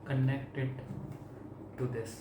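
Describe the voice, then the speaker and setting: Flat-toned Indian man in an echoey room. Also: mid-range voice, slow pace. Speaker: vlogger reviewing a product. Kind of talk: casual product demonstration and review. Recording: echoey room, distant mic, clear background noise.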